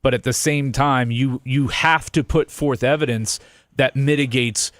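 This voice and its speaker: A man giving conversational legal commentary on a talk show, explaining things in a measured way. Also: mid-range voice, steady tone, no echo, clean studio sound.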